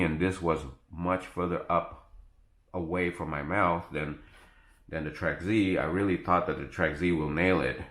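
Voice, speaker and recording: A man with a low-pitched voice talks through a product review in a casual, off-the-cuff style. He explains step by step, often halting and correcting himself. The sound is distinctly roomy and echoey. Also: a bit bright. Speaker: tech gadget reviewer.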